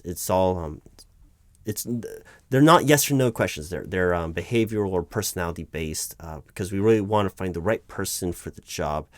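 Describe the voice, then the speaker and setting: A low-pitched male voice, recorded close and dry, a man playing a flustered recruiting manager in an audio roleplay. He stammers and hesitates, staying awkwardly polite and deferential as he works through a one-sided job interview. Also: dry recording. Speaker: roleplay performer.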